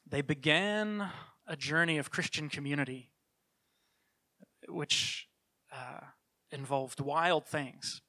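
Middle-aged man, mild New Zealand accent, earnest church speaker, bright tone, casual restarts. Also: on the high side. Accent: New Zealand, softened by years in Canada. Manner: earnest, conversational, with frequent restarts. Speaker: children's ministry leader. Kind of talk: church talk with personal testimony.

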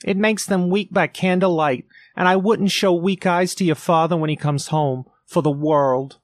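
Guy doing a soft sad mother voice, pitched way up, shaky, dry close sound. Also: steady tone, normal speed, no echo, natural voice. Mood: tender. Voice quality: soft, higher, faltering. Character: grieving gentle mother Mrs Cratchit.

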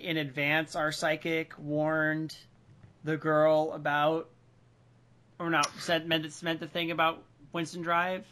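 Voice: medium pitch, monotone, slow, fairly bright tone, slight background noise. A podcast co-host chatting casually and thinking aloud in a group discussion.

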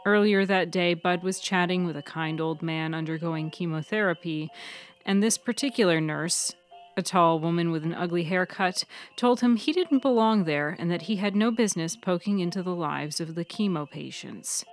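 Low-voiced woman narrating fiction. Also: normal speed, soft background music. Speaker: author and narrator of a fiction podcast. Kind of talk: scripted audiobook-style story narration.

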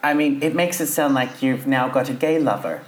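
A man's voice: mid-range voice, moderate pace. Chatty, laughing male podcast host. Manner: chatty anecdotal storyteller, laughs often. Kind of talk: casual comedic chat, storytelling. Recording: roomy echo with faint noise.

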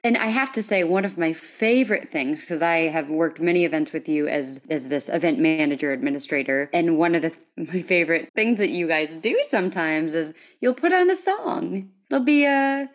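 A woman hosting a conversational interview, chatty and affirming as she asks questions. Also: on the low side. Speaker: podcast host.

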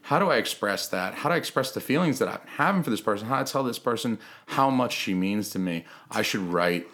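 A man's voice: on the low side, lively intonation, fast talker, a bit bright. A young man hosting a casual comedy podcast, rambling and mock-earnest as he jokes with his guest. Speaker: podcast host.

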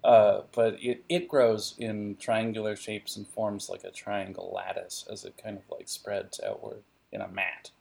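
Low, slightly creaky man hosting a game. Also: little pitch movement, a little slow, hardly any pauses, darkish tone. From California. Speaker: game master and narrator of an RPG podcast. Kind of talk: casual, descriptive tabletop game chat.

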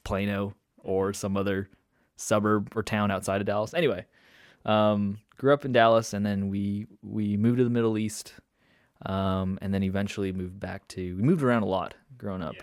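A man's voice: fairly low pitch, level tone, moderate pace, no room sound, clean studio sound. A young man born in Indonesia chatting casually as a guest, rambling with fillers and restarts and laughing often. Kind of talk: casual conversation.

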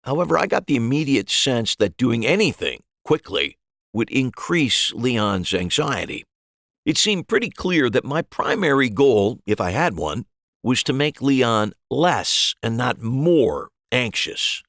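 A man's voice: medium pitch, sing-song, a little slow, fairly bright tone, no echo, studio quality. A man narrating a scripted first-person story as a storyteller.

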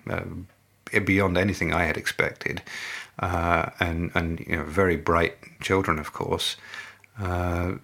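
Low gravelly man, halting measured answers. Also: a bit bright. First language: English. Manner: measured, halting, many fillers and restarts. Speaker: company representative, interviewee. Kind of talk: interview answers.

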